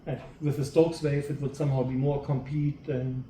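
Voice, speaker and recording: A man with a dark, relaxed tone speaks from some distance in an echoing room. He is a researcher in the audience, reasoning hesitantly through a long question and repeating words as he goes. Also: mid-range voice, normal speed. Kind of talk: academic discussion, long spontaneous question. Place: seminar room, away from the mic. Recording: echoey, off-mic with faint noise.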